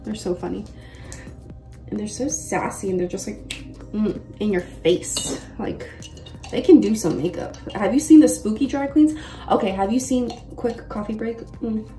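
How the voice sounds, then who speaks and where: A woman's voice: mid-range voice, moderate pace, warm tone. A woman in her mid twenties chatting casually and slangily, with frequent exclamations, as she does a makeup tutorial at home. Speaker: makeup vlogger. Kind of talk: casual chat while doing a makeup tutorial. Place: bedroom-like room at home. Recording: roomy echo, distant mic, faint music.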